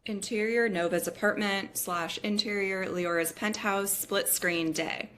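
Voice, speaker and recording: A woman's voice: medium pitch, steady tone, measured pace, a bit bright, slight background noise. A woman narrating screenplay stage directions at a scripted table read.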